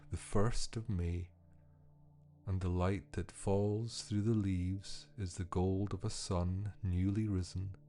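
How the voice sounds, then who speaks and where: Slow, low-voiced man guiding meditation. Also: mellow. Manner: soothing, unhurried, measured pauses. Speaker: podcast host and meditation guide. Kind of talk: guided meditation, scripted narration.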